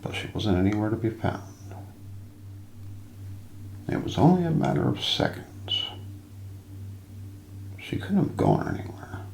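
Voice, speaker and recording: Slow, creaky-voiced man narrating in ASMR style. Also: fairly low pitch, huge pitch swings, darkish tone, echoey room, a little hiss or hum, rough recording. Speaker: ASMR narrator hosting a mystery show roleplay. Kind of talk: soft ASMR scripted narration of ghost stories.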